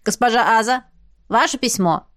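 A woman voicing a brisk, insistent dwarf woman delivering a letter. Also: fairly high pitch, fairly bright tone, no echo, studio quality, lightly acted.

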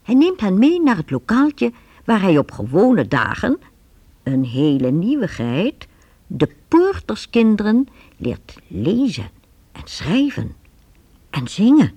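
A woman narrating a youth radio play in a measured storytelling manner. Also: mid-range voice, huge pitch swings, mellow, breathy edge, no room sound.

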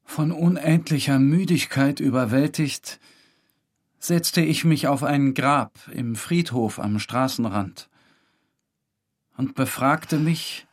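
A man's voice: medium pitch, slow. A man narrating a literary story in a dramatic scripted style. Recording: music behind the voice.

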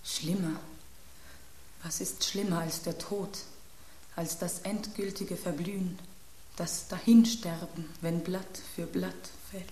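A woman's voice: lowish voice, fairly even intonation, takes it slowly, darkish tone. An actress playing an anxious, poetic woman in a radio drama, theatrical and exclamatory. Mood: tragic awe. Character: melodramatic, anxious, poetic woman at a tense dinner. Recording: roomy sound with hiss.

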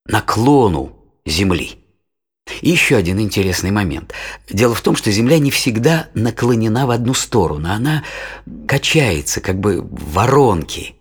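A patient father with a low, husky voice. He explains in a measured, teacherly way, as in an educational audio drama. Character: patient father explaining science to his children.

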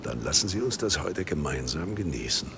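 A man playing a suave, self-assured crime boss in a radio play, with smooth, ironically courteous formality. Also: rumbling low, very animated, moderate pace, heavy background noise, exaggerated voice.

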